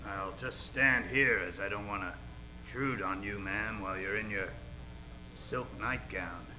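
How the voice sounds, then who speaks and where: A man playing an easily flustered hard-boiled private eye with a halting, deadpan delivery, in old-time radio noir parody style. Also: fairly low pitch, noisy background, lightly acted. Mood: flustered, probing.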